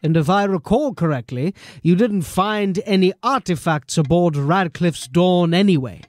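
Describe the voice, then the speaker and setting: An actor playing a stern, exasperated police captain in a fantasy audio drama, sardonic, clipped and authoritative. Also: male voice, sits high, expressive, normal speed, dry recording, studio quality. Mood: dry scepticism.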